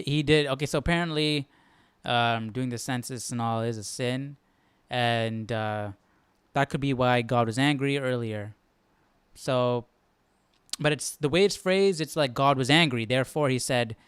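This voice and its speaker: A man's voice: mid-range voice, measured pace, smooth, dry recording. A man hosting a live Bible study, commenting casually and thinking aloud, often checking himself with a questioning tag. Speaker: host.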